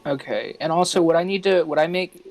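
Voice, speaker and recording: A man's voice: on the high side, brisk. A man playing a tabletop game, talking casually and carefully as he checks the rules. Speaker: player. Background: soft music.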